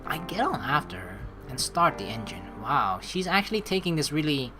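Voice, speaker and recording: A woman's voice: deep voice, sing-song, normal speed. A woman doing casual let's play commentary, dramatic and sweary, reading game text aloud and improvising replies. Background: game music.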